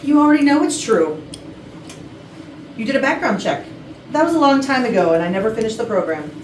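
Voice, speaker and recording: A woman's voice: a little high, very animated, brisk. A woman playing a snippy, guarded office employee in a staged comedy reading, with a pointed, disdainful manner. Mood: defensive. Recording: distant mic, echoey noisy room.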